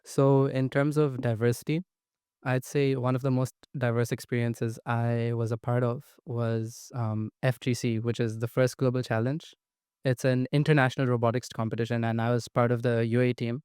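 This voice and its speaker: Teen guy from the uae, keen, flat as anything, clean dry audio. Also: mid-range voice, moderate pace, smooth, no echo. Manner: eager, repeats "amazing". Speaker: student panelist. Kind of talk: answering panel questions.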